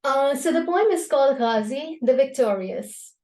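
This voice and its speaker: A woman's voice: high voice, lively intonation, moderate pace, a little mellow. A woman introducing and reciting poetry at an online gathering in an explanatory, conversational way. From Karachi, Pakistan. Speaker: host and poet at a poetry gathering. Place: online video call.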